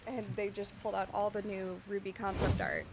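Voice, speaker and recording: A woman guest from a game team, telling casual anecdotes in an excited way. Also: mid-range voice, brisk, gravelly. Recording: loud background noise with clicks.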